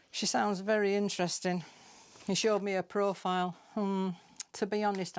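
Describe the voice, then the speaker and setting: Low-pitched British woman telling comic tales. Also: creaky. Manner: dry, conversational, confiding. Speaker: storyteller reading own comic tales. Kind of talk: scripted comic monologue, chatty gossip.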